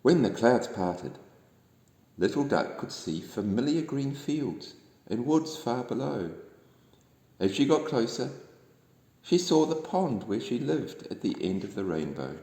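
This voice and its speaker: A man reads a children's bedtime story in a gentle, expressive way. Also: medium pitch, a little slow. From New Zealand. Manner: gentle, expressive, addressing young listeners. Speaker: storybook reader. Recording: echoey room with long reverb.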